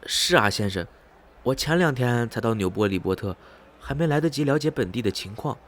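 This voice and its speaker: Man acting a curious, lively-toned traveler. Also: medium pitch, fairly quick, natural voice. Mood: polite, curious. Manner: courteous, inquisitive. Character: polite, curious male traveler, newcomer in town. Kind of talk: Mandarin radio drama dialogue. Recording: music behind the voice. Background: background music.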